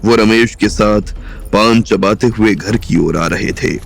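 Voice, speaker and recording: A man narrating a romantic crime audio drama in a measured storytelling manner. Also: on the low side, vocal fry, a little hiss or hum.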